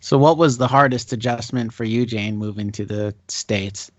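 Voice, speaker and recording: A man's voice: medium pitch, normal speed, no room sound. A man hosting a podcast, asking brief questions in a casual interview conversation. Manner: short prompts and questions. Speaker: podcast host. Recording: dull, muffled lo-fi sound.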